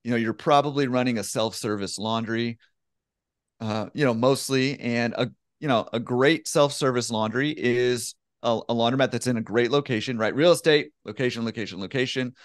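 A man in his thirties, a guest on a video call, answering in a relaxed, conversational way full of "you know" fillers. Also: mid-range voice, normal speed, dry recording, studio quality. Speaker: laundromat owner, guest. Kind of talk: casual interview answer. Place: home room with bookshelf. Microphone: headset with desk mic on video call.

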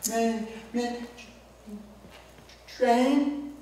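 A man playing a confused, distressed lost group home resident with halting, repetitive speech in a live role play. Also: very high-pitched, steady tone, mellow, full character voice. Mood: confused, agitated. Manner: halting, repetitive one-word answers. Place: theatre stage, far camera. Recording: echoey stage, distant mic, faint noise.

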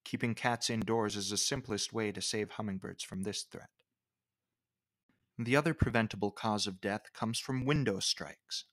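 A man reading scripted educational nature narration in a measured, formal way. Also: on the low side, fairly bright tone.

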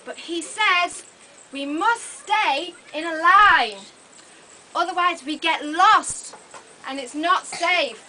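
A woman's voice: extremely high pitch, sharp tone. A woman telling a story live to young children in short simple phrases, exclamatory, with questions to her audience. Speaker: puppet show narrator. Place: open-sided thatched hall with audience of children. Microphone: camera microphone from audience. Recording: noisy open-air hall recording.

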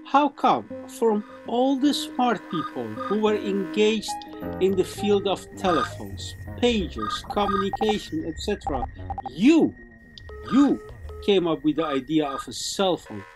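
High-pitched, animated male interviewer. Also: measured pace, over music, rough recording. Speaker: interviewer, journalist. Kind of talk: conversational interview.

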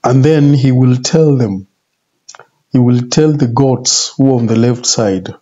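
Husky, mellow middle-aged man preaching. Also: medium pitch. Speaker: religious video presenter. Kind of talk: informal sermon, retelling scripture. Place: small indoor office. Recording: muffled, dull home video audio.

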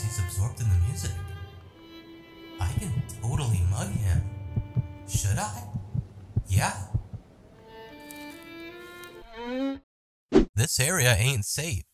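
Man acting a hapless young wanderer, slow-paced. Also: medium pitch. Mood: muttering, conflicted. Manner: hesitant, stammering, slangy. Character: hapless, earnest young male wanderer and failed bandit. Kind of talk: manga comic dub acting. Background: music under the dialogue.

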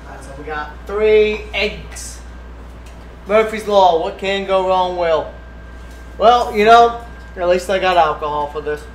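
A man's voice: high voice, measured pace. A man hosting a casual cooking livestream, talking through the steps with profane jokes. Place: indoors in a home kitchen. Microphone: distant room mic. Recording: echoey room, distant mic, faint noise.

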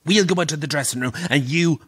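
An actor with an Irish accent playing a gossipy, superstitious pantomime dame performer, theatrical and exclamatory, in an audio drama. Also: male voice, a little high, fast talker, slightly rough, no echo, studio quality. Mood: jittery, urgent. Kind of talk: naturalistic audio drama acting.

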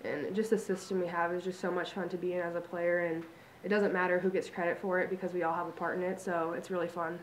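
A young woman college basketball player answering at a table mic, upbeat and quick, peppered with likes. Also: fairly low pitch, little pitch movement, roomy sound, a little hiss or hum, rough recording. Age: young adult. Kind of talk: post-game press conference answers. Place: press conference room. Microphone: table microphone.

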